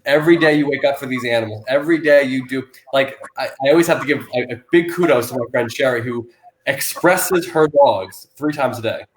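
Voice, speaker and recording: A man hosting an animal rescue live stream, talking loudly in a rambling way full of restarts and fillers. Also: mid-range voice, a little fast, reverberant, slight background noise, low-quality recording. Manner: loud, self-described loud person. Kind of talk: casual live-stream interview.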